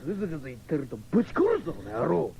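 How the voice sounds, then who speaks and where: A man's voice: on the high side, big pitch swings, very fast, vocal fry, background noise. An actor playing a coarse, sneering male thug in a 1970s radio drama. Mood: angry, barking. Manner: coarse, bullying. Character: rough, sneering male thug and slave trader. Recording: dull old radio recording with music.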